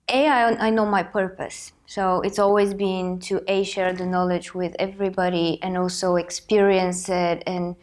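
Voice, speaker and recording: A woman's voice: fairly low pitch, darkish tone. A woman in her thirties from Bulgaria hosting a personal motivational talk, speaking earnestly and reflectively while she has a cold. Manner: earnest, reflective, thinking aloud. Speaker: host.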